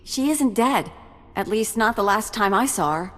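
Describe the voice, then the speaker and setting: An actress playing an earnest research facility survivor in a video game, explaining things with concern. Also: female voice, medium pitch, expressive, normal speed, close to an everyday voice. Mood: relieved, earnest. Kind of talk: video game cutscene acting. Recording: long hall reverb, music behind.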